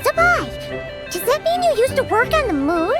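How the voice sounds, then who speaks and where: A woman voicing a tiny, curious, childlike fairy companion in a video game, squeaky and excitable. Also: moderate pace. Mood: excited, curious. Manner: excitable, refers to herself in third person. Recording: music playing behind the voice.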